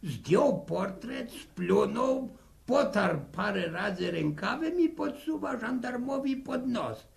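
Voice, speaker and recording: A man's voice: very high-pitched, big pitch swings, slow pace, heavily acted. An actor playing a chatty, wily old grandfather in a 1960s radio drama, with a rambling, folksy manner. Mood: amused, nostalgic. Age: older. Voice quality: creaky old voice, chuckling. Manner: rambling, repeats words, folksy.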